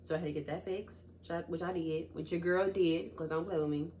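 A woman's voice: on the low side, steady tone, a little fast, dark tone, slight background noise. A young woman hosting her own podcast, talking casually to her listeners and calling them y'all and baby, still getting over a cold. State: recovering from a cold. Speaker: podcast host. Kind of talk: casual solo talk to listeners.

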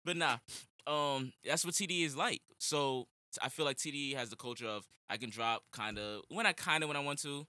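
A man in casual chat with friends about music, talking quickly and laughing often. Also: medium pitch, sharp tone, dry recording. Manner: quick, laughs often, jumps between ideas.

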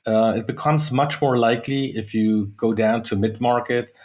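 A middle-aged man from the United States, speaking as a sales executive guest in a podcast interview, measured and with many fillers and restarts. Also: mid-range voice, steady tone, a little mellow.

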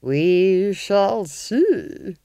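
A woman voicing an imperious, menacing Duchess with a hissing rasp and drawn-out threats, in a fantasy audiobook. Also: medium pitch, very animated, warm tone, dry recording, studio quality, exaggerated voice. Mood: menacing, commanding. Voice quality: hissing, rasping. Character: menacing, imperious big red-faced villainous Duchess.

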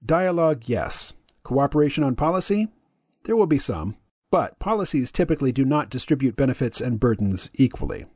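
A deep-voiced man hosting a podcast, reading his side of a dialogue in a measured, analytical way. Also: a little mellow, no echo, studio quality. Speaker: podcast host.

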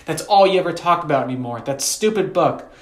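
A man's voice: on the high side, normal speed, fairly bright tone, echoey room, voice pushed up, close to an everyday voice. A man voicing a frightened, stubborn little girl with a pleading, petulant manner in a story narration. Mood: angry, petulant.

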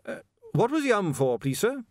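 A man's voice: high-pitched, very animated, normal speed, a little mellow, dry recording, pitched above the performer's own voice. An earnest, polite young watchman, as a male narrator puts him on, asking plain questions in a lifted voice. The storybook reading sounds dry and close.

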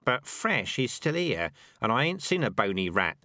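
Man as a blunt doubting rat, swooping pitch. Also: big pitch swings, moderate pace, bright, forceful tone, no echo, clean studio sound. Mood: skeptical, irritated.

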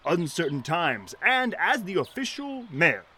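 Florid, higher-voiced shantytown mayor. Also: male voice, sing-song, a little slow, heavy background noise. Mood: rousing, solemn. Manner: florid, formal oratory. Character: earnest, pompous, kindly shantytown mayor. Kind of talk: 1930s period audio drama acting.

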